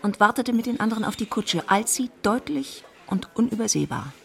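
A woman narrating a literary radio drama in German in a measured, precise way. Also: a little high, expressive, vocal fry, a bit bright.

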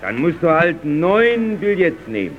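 High-voiced, exasperated neighbour, dull old recording. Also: male voice, sing-song, a little slow, faint music behind. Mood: polite, instructive. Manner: instructive, emphatic. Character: exasperated, polite neighbour, patiently instructing a fool. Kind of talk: 1930s German radio comedy acting. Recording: dull, noisy 1930s radio recording.

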